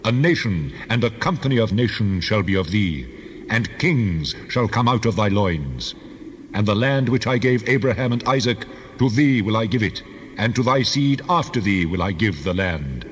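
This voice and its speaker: A man's voice: lowish voice, expressive, normal speed, breathy edge. An actor playing God as a solemn, commanding deity in a dramatized scripture reading, declaiming his words. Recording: long cavernous reverb.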